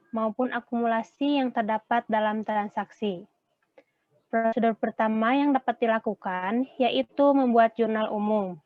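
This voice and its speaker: A woman's voice: a little high, dry recording. A young woman from Indonesia giving a prepared class presentation as a student. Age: young adult. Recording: boxy room, thin phone-like sound.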